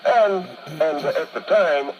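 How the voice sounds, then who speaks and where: A man's voice: a little high, slow pace, dull audio. An older man, a radio show guest, telling a rambling story in long-winded, grandiloquent wording. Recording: noisy radio broadcast.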